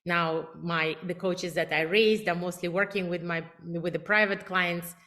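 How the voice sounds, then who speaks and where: A woman's voice: on the low side, steady tone, a little slow, sharp tone. Russian-accented woman coaching viewers. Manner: emphatic, enthusiastic, self-promoting. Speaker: relationship coach. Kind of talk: direct-to-camera advice talk.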